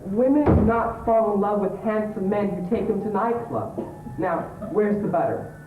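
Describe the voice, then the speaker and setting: A male actor plays a scruffy English suitor, earnest and smugly analytical, in an amateur stage play. His high voice halts and doubles back on words. The old recording is tinny and roomy. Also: lively intonation, soft background music. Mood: smugly reassuring. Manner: halting, repeats words as he explains. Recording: tinny old tape, roomy, background hiss.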